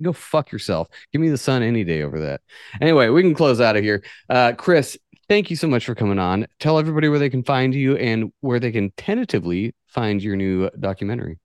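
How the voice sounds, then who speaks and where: A man's voice: medium pitch, no room sound, studio quality. A man from the United States hosting a podcast in a casual, blunt, joking and sweary way. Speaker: podcast host.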